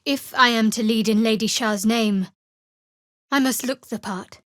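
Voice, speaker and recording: Fantasy game heroine: young cleric of a dark goddess, fairly high voice, sardonic, dry, guarded, studio-dry sound. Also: female voice, no room sound. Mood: solemn, measured. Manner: measured, dry, wry delivery. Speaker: video game voice actor. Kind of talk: scripted fantasy game dialogue.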